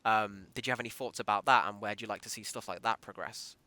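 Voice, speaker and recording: Bright, clear-voiced male podcast interviewer. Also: on the low side, fairly even intonation, fast, no echo. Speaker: podcast host interviewing an actor. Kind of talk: conversational interview.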